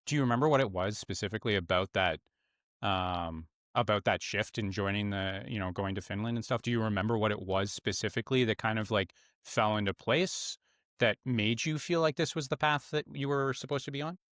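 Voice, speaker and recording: A man's voice: on the low side, a little fast, fairly bright tone, dry recording, studio quality. A man hosting a sports podcast, asking long, rambling questions with fillers. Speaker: podcast host. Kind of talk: interview questions.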